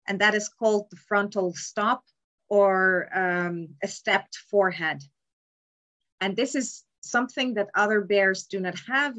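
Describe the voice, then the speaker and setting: A young woman giving a remote lecture on palaeontology from an indoor room over a webcam, explaining slides in a measured way with frequent ums. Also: mid-range voice, fairly bright tone, lo-fi sound. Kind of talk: slide lecture on cave bears. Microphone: webcam in a video call.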